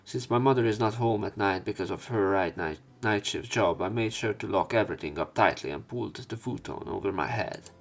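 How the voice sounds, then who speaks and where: A man reading visual novel text aloud as a let's play narrator, in a somewhat halting manner. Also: fairly low pitch. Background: game music.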